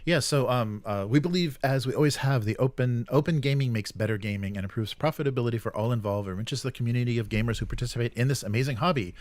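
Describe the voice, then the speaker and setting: A man hosting a show, reading out news and commenting casually in a halting, agreeable way. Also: mid-range voice, no echo. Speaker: show host.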